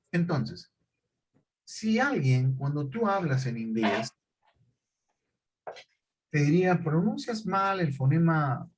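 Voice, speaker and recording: A man's voice: fairly high pitch, slightly rough, rough recording. A middle-aged male teacher, lecturing a class and often checking in with questions.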